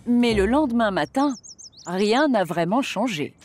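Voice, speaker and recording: A woman's voice: a little high, expressive, a little slow, a little mellow. A woman giving scripted narration as the storyteller of a children's cartoon. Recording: music behind the voice.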